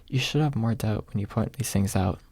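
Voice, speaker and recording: An exasperated but good-natured young guy in a storybook reading, acted by a man. He retorts wryly and casually in a husky voice with some crackle. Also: lowish voice, fairly quick, a little mellow, no echo. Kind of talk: ASMR audiobook character reading.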